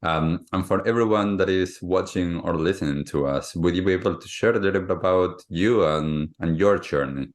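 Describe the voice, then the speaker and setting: A man's voice: low voice, normal speed, hardly any pauses. A man from Argentina, hosting a podcast interview and often repeating words as he searches for phrasing. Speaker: podcast host.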